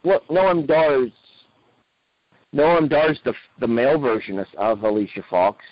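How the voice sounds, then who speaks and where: A man with a slightly rough voice, co-hosting a wrestling podcast in casual review chat. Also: mid-range voice, measured pace, dry recording, lo-fi sound.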